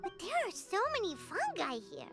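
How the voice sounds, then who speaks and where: A woman voicing a tiny, chirpy fairy-like companion in a squeaky, bright voice. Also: wildly swooping pitch, normal speed, loud music behind the voice, heavily acted. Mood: perky. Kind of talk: game voice acting.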